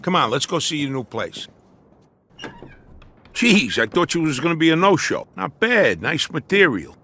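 Music plays loud behind a young American gangster pal. His highish voice jumps around in pitch, boisterous, slangy and chummy, like a crime game sidekick. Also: very animated, normal speed, heavily acted. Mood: breezy, teasing. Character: brash, chummy young Italian-American mobster buddy. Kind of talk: game cutscene acting.

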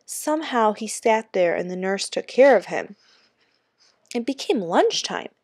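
A woman's voice: medium pitch, animated, measured pace, a little mellow, no room sound, clean studio sound. A woman reading a children's book aloud expressively, like a teacher with a class text.

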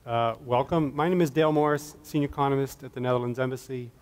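A man's voice: medium pitch, fairly even intonation, fast talker. Pittsburgh-accented man at a podium, reverberant hall. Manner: conversational, with fillers and restarts. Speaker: embassy economist and panel moderator. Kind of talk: public talk at a podium. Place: large hall with stage and audience. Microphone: podium microphone.

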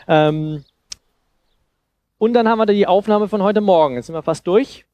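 A man in his thirties giving an informal demonstration talk into a headset mic, explaining haltingly and thinking aloud. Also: sits high, a little mellow, no echo, lo-fi sound. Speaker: conference speaker on sound design. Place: seated on a sofa in a festival room. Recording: clear background noise and ambience.

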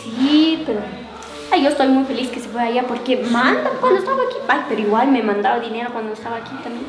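A woman's voice: a little high, sing-song, fast, reverberant, music in the background. A young woman playing a girlfriend who brags about the money her boyfriend sends, in a casual web drama. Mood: boastful. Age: young adult. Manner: chatty, boastful. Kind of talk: amateur web drama acting.

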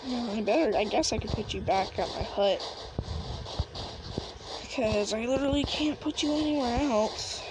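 A woman with a somewhat high voice talks her way through a game roleplay. She is casual and hesitant, often muttering to herself. The home recording, made on a handheld device, has clear noise and clicking behind her. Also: normal speed, pauses often. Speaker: player in a game roleplay video. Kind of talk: improvised roleplay and casual gameplay commentary.

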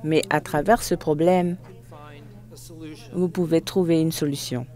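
An interpreter giving a live spoken translation of a formal speech, phrase by phrase, with halting self-corrections. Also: mid-range voice, steady tone, mellow.

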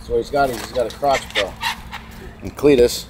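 A man's voice: mid-range voice, normal speed. A man giving joking, casual commentary. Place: outdoors at a race track. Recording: vehicle noise behind voice.

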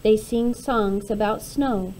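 A woman reading a rhyming children's picture book aloud in an expressive, measured way. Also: medium pitch, slight background noise, rough recording. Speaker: storybook reader.

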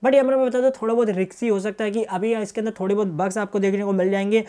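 Casting: young male tech reviewer, rapid delivery, softened mellow tone, chummy casual walkthrough style. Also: high voice, fairly even intonation. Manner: colloquial, addresses viewers chummily as brothers. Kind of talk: casual phone feature walkthrough.

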